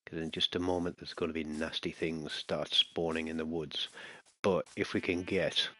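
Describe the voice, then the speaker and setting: A man's voice: rumbling low, little pitch movement, moderate pace, sharp tone. A man chatting casually to himself while playing a survival game, muttering and breaking into alarmed bursts when enemies appear. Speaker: gaming channel host. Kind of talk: casual let's-play commentary.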